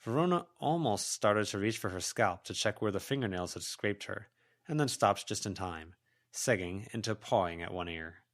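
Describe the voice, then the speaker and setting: Low-voiced man narrating fantasy fiction. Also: animated, normal speed. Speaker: volunteer audiobook narrator.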